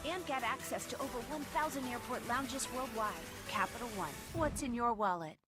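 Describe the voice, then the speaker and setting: A woman's voice: fairly high pitch, moderate pace, over music. A woman delivering a scripted commercial read as a spokesperson.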